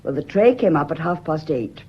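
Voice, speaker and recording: A prim British lady's companion, with a husky voice and a careful, polite, nervous manner. She speaks in radio mystery style on a slightly dull, muffled recording. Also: low-pitched, animated, moderate pace. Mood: composed, careful. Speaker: actress in a radio mystery drama. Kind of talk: British radio drama acting.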